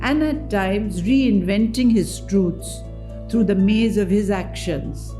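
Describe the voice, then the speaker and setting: A woman reading a memoir aloud as a dramatic literary reading, with measured, deliberate phrasing. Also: mid-range voice, over music. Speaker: reader at a book event.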